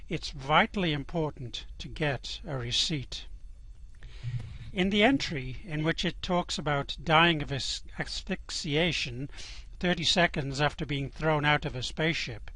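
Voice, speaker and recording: A man playing the calm, drily amused voice of a galactic guidebook, narrating a comic radio play in a measured, deadpan way. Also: fairly high pitch, no echo.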